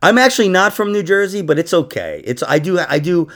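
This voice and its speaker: A man's voice: high voice, expressive, fairly quick, fairly bright tone. A man hosting a casual comedy podcast, joking and rambling as he chats with his guest. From New York.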